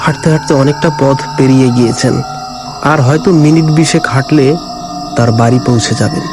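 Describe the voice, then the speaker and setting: A man's voice: mid-range voice, brisk. Male ghost story narrator over music.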